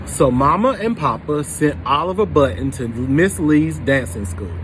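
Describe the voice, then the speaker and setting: A man with a slightly high voice reads a children's picture book aloud. He stops to prompt young listeners with questions. There is some ambient noise behind him. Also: lively intonation, a little slow, a bit bright, poor audio. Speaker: storybook reader for children.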